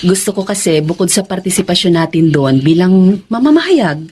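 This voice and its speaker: A woman playing a devoted, soft-hearted working mother with a husky voice, in naturalistic radio drama style. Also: lowish voice, expressive, moderate pace. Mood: gentle, soothing.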